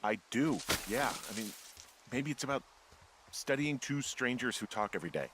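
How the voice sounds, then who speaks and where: A man's voice: medium pitch, lively intonation, normal speed, very noisy, lightly acted. An American man playing a wry, wary middle-aged fire lookout in a story video game, speaking in a casual, dry, hesitant way. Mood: tense, suspicious. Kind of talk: naturalistic game dialogue. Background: game music.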